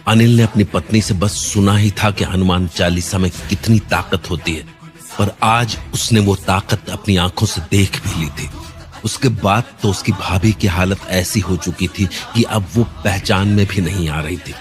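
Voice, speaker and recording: Deep-voiced man narrating a horror story. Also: moderate pace. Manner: dramatic, suspenseful delivery. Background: faint background music.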